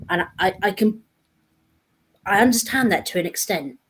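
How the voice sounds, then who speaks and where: A woman's voice: mid-range voice, animated, normal speed, slight background noise. A woman in a casual panel discussion, talking in a reflective, conversational way.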